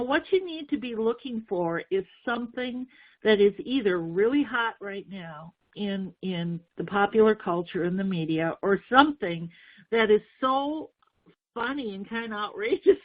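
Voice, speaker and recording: A woman from North Dakota giving a webinar on book marketing as a book coach, telling stories in a chatty way with fillers and restarts. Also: medium pitch. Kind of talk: webinar presentation with slides. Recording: tinny phone-like webinar audio.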